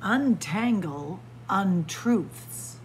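Librarian lady doing storytime, slow and sing-songy, close webcam mic, faint hum behind. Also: medium pitch, poor audio. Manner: slow, deliberate word-by-word reading with lively teacherly emphasis. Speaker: children's librarian reading aloud. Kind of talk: picture-book read-aloud for kids. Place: small public library room with bookshelves. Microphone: webcam or phone close up at arm's length.

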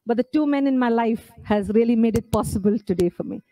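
A woman's voice: fairly high pitch, quick pace, dark tone, no echo. A woman in her forties from India gives an earnest, emphatic speech at a podium microphone on an indoor stage, asking the audience rhetorical questions. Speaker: author at her book launch.

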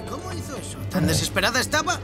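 A woman's voice: high voice, big pitch swings, quick pace. A woman voicing an earnest, doubting young horse rider in a children's cartoon, with emphatic delivery. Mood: doubtful. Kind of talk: animated children's cartoon dialogue. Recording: loud music under the voice.

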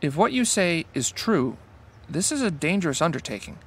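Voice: medium pitch, big pitch swings, moderate pace, husky. An actor playing an earnest, awestruck captain of an amateur sci-fi fan crew in an audio drama. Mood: solemn, resolved.

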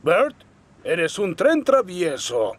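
A man's voice: high voice, huge pitch swings, slow, faint music behind, exaggerated voice. A man playing a stern, disappointed railway controller in a Latin American children's cartoon, scolding with authority.

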